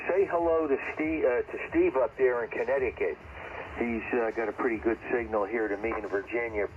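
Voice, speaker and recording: A man talking casually and haltingly as an amateur radio operator, heard over a radio transmission. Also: medium pitch. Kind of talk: casual ham radio conversation. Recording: dull, slightly noisy radio transmission.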